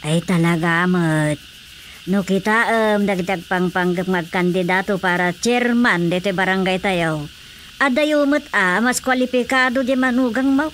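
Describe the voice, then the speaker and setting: Actress as sharp-voiced older Ilocano wife. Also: female voice, mid-range voice, measured pace, slight background noise, lightly acted. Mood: conversational. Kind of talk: Ilocano radio drama dialogue.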